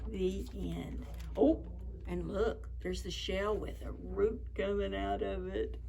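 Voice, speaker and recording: A woman hosting children's story time, reading picture books expressively with drawn-out words and chatty asides. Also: mid-range voice, fairly even intonation, vocal fry, warm tone, a little hiss or hum. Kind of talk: animated picture book read-aloud for preschoolers.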